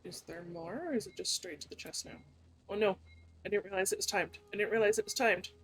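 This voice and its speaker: A woman's voice: mid-range voice, a little fast, fairly bright tone, slightly rough. Casual woman streamer with mid-range voice. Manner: thinking aloud, informal. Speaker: game streamer. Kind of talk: casual live gameplay commentary. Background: faint game music.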